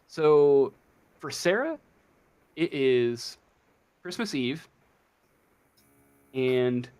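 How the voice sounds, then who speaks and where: An unhurried man acts as game master in a tabletop role-playing game. He improvises the narration haltingly, thinking aloud at a slow pace in a mid-pitched voice. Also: clear, resonant tone.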